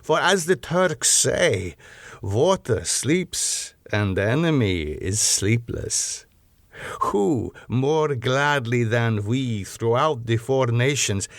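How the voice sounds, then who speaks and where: Proud ancient vampire nobleman: boastful, declamatory gothic storybook villain, measured pace, dry close sound. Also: medium pitch, dry recording. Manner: grandiose, declamatory, rhetorical questions. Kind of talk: dramatic gothic audiobook character reading.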